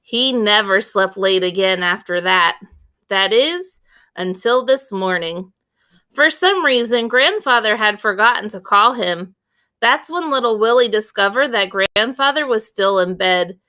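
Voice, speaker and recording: A woman reading a children's book aloud as an expressive storyteller, with deliberate, clear phrasing. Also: medium pitch.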